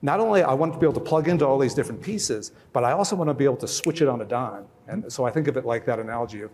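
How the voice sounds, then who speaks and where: A man's voice: mid-range voice, racing, darkish tone, slight background noise. A man on a conference panel, explaining casually with everyday analogies.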